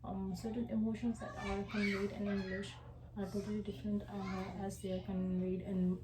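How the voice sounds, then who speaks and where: A young woman whose first language is Hindi presents her coursework in an echoey room. Her voice sits at a middle pitch, and she speaks hesitantly, filling pauses with ums and uhs. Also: flat delivery, background noise. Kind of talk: class presentation.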